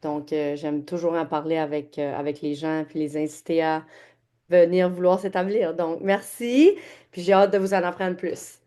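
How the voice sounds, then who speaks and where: A woman's voice: fairly low pitch, fairly even intonation, normal speed, warm tone. A woman from the Gaspésie in Quebec with a Québécois accent, introducing herself as a real estate agent in a friendly webinar on a video call. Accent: Québécois French. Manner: chatty, self-introducing, laughs often, mixes English words. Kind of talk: webinar presentation.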